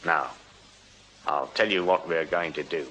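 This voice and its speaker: An actor playing a shrewd Victorian police sergeant in a radio drama, speaking formally and with insistent authority. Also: male voice, rumbling low, fairly even intonation, unhurried, very noisy. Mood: cool, dry. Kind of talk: full-cast radio drama acting.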